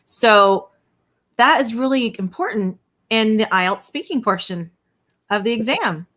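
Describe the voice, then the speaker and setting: A woman's voice: a little high, expressive, a little slow, fairly bright tone. A woman hosting an interview on a video call, explaining things plainly and encouraging her guest. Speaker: interviewer host. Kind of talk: casual interview talk.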